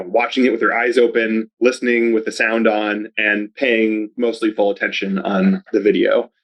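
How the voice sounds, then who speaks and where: Brief: male podcast guest, lowish voice, loose and rambling, upbeat, says "like" a lot. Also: highs cut off. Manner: rambling, heavy use of "like", upbeat. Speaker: podcast guest, video advertising researcher. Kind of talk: casual interview chat.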